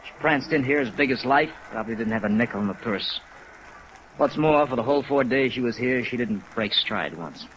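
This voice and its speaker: A man's voice: mid-range voice, lively intonation, a little fast, fairly bright tone, close to an everyday voice, rough recording. A man acting as a chagrined, middle-aged veteran hotel operator in a 1950s radio drama, hesitant and self-mocking. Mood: rueful indignation. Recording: vintage radio recording under rain noise.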